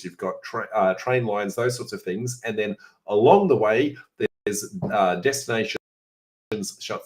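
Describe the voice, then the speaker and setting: A middle-aged man with an Australian accent, hoarse and raspy today, hosting a casual question-and-answer session and chatting through answers with many filler sounds. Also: on the low side. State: hoarse, coughing. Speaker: host answering viewer questions. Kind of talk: informal Q&A session.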